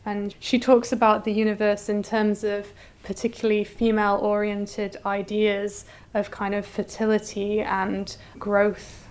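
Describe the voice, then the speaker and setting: A woman's voice: medium pitch, monotone, measured pace, darkish tone, clear, resonant tone. A woman curator speaking as an interview guest in an articulate, explanatory way.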